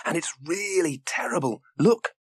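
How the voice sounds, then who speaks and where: A man's voice: a little high, expressive, a little slow, husky, no room sound, pitched above the performer's own voice. A man voicing a frank, eager young girl in a children's story. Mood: dramatic. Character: forthright, eager young girl, the boy's friend.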